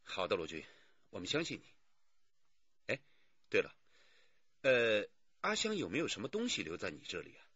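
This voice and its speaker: An actor playing a watchful police detective, questioning in a measured, coaxing way in a radio crime drama. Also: male voice, on the low side, huge pitch swings, fairly bright tone, slightly rough, dry recording, lo-fi sound, heavily acted. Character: calm, watchful police detective interviewing a witness.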